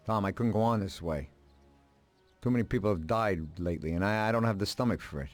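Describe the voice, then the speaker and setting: An actor playing a tired, resigned older American mob accountant in a dramatic video game scene, halting and earnest. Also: male voice, fairly low pitch, lightly acted. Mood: weary, pleading. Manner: weary, halting, pleading. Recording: music behind the voice. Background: background music.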